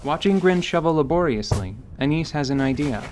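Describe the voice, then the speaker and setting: A man's voice: fairly high pitch, animated, a little fast, music in the background. A man reading scripted story narration as a narrator in an audio drama. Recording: noisy recording with clicks.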